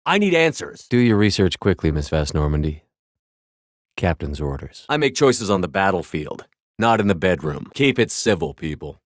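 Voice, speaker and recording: Low-voiced, tough commanding soldier. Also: male voice, sing-song, moderate pace, no room sound, clean studio sound. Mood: urgent, commanding, then controlled, determined. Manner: terse, firm, assertive delivery. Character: tough, commanding male space soldier and squad leader. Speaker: video game voice actor. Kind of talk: cinematic game dialogue lines.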